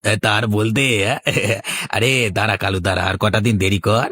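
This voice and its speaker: A man plays a boastful cartoon crook who runs a village scam. His voice is on the low side and closely recorded. He gloats, scolds and wheedles in an oily way. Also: fairly even intonation, normal speed, a bit bright, no echo. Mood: gloating. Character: crafty, boastful male village juice swindler, bossy ringleader. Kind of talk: cartoon voice acting.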